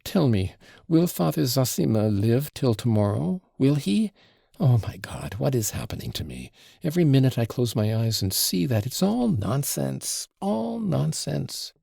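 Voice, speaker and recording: A man reading aloud and voicing an excitable, fretful middle-aged mother who gushes in a high, swooping voice. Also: unhurried, vocal fry, husky, no echo, studio quality, exaggerated voice. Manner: gushing, breathless, high and swooping. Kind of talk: audiobook reading of a classic novel.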